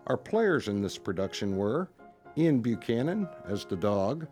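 A man's voice: fairly low pitch, very animated, a little slow, a little mellow, soft background music. A man announcing the close and cast of a radio drama in a scripted style.

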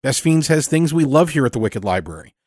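A man reading scripted announcements for a show, with deliberate, measured phrasing. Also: on the high side, sing-song, darkish tone, no echo, studio quality. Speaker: show announcer and sponsor reader. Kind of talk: scripted narration.

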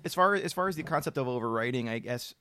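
A man with a mid-pitched voice co-hosts a podcast in a casual, easygoing way. His sentences ramble along informally, and the recording is very dry. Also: animated, quick pace, bright, forceful tone, dry recording. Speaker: podcast co-host.

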